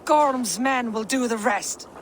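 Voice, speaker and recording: An actress playing a gruff, bossy Norse camp overseer in a video game, curt and scornful as she gives orders. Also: on the high side, slow pace, slight background noise. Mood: curt, commanding.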